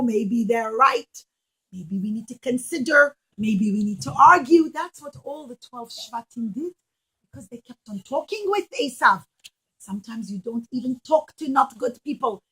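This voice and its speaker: A woman teaching young children a religious story in an expressive way, acting out the dialogue and putting questions to her listeners. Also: high voice, rough recording. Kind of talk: storytelling puppet show lesson for young children.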